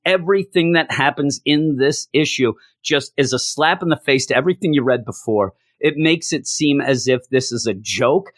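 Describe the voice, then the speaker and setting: A hoarse man reviewing a comic book, ranting in exasperation and repeating his complaints for emphasis. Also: medium pitch, normal speed, few pauses, no room sound, clean studio sound.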